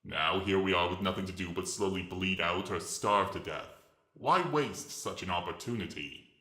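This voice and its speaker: A huge reptilian villain, speaks with mocking courtesy and grand self-importance in a sci-fi audio drama. The voice is very low, with a forceful edge, in an echoing recording. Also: male voice, moderate pace. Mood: sardonic, resigned. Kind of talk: dramatic reading of a sci-fi story. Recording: echoing, reverberant voice track.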